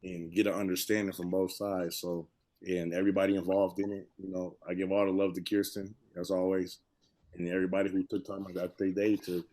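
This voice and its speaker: A man giving casual, heartfelt remarks on a panel, halting and repeating phrases for emphasis. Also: sits low, flat delivery, warm tone. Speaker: monologue storyteller on a panel.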